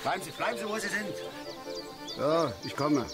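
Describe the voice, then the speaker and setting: An actor playing an opinionated, grumbling middle-aged angler in a radio play, blunt and colloquial, muttering in short clipped phrases. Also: male voice, on the high side, expressive, measured pace, loud music behind the voice. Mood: urgent. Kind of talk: naturalistic German radio play acting. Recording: noisy outdoor ambience with birds. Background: birds and outdoor nature sounds.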